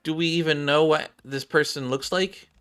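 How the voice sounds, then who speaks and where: A man's voice: on the high side, fairly even intonation, moderate pace, fairly bright tone, clear, resonant tone, soft background music, voice pushed up, close to an everyday voice. A man voicing a curious, chatty girl character while reading out a game's dialogue. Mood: doubtful.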